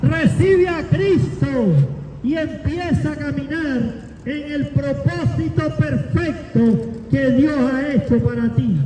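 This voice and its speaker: A man in his forties delivers a declamatory sermon, stacking up phrases and rising toward shouts. His voice sits very high for a man and his delivery is slow and deliberate. He is recorded from a distance in a roomy, echoing space with a long reverb and faint background noise. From Cuba. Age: 40s. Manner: declamatory, builds up lists and rises to shouts. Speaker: preacher. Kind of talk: sermon with scripture reading and altar call. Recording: echoey, long reverb, from afar.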